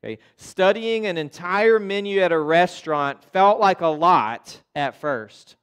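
American guy pastor giving a casual sermon, smooth clear voice, bit on the higher side. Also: a little slow, fairly bright tone. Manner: informal, checks in with listeners using "okay?" and "right?". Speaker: pastor preaching. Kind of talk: conversational sermon. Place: church sanctuary in front of a congregation.

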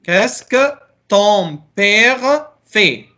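A man's voice: high-pitched, animated, drawn-out words, pushed and bright, muffled recording. A middle-aged man teaching a French class over a video call, calling on students and patiently correcting their answers. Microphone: laptop mic on a video call.

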